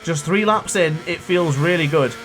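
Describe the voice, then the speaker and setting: A young man with a cold-hoarsened voice, chatting and thinking aloud while he commentates his own racing game play. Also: a little high, normal speed, a bit bright, slight background noise. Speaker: gaming youtuber. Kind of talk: live gameplay commentary.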